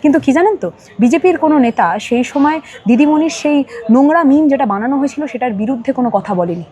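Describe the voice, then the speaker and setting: A woman with a high voice and a Bengali accent speaks as a politician in an interview. She is emphatic and builds long sentences that circle back and repeat key phrases. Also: expressive, quick pace, dark tone. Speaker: political party leader, interview guest.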